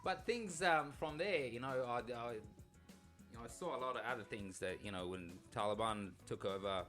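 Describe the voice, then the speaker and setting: A man in his thirties from Afghanistan, a podcast co-host telling his life story in a halting, nervous way with many restarts. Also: lowish voice, a bit bright. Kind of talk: casual storytelling chat.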